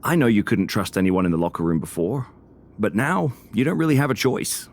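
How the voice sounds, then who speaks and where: A man playing a composed, diplomatic wrestling general manager with a measured, formal manner in a video game cutscene. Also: fairly low pitch, big pitch swings. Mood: advising.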